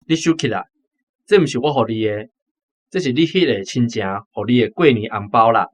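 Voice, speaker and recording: Kind young Taiwanese soldier, music behind. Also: male voice, medium pitch, lively intonation, fairly quick, husky. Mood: gentle, earnest. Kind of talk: radio drama acting.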